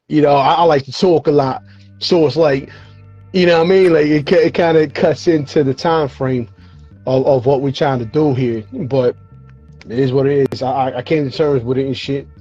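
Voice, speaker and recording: A man hosts a hip-hop interview show, tired and audibly losing his voice, so his tone comes out husky and mellow. He talks loosely, with slang and plenty of fillers, over a video call with faint music running underneath. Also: medium pitch, steady tone, moderate pace, dull audio. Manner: laid-back slangy talk with frequent fillers and cursing. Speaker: show host.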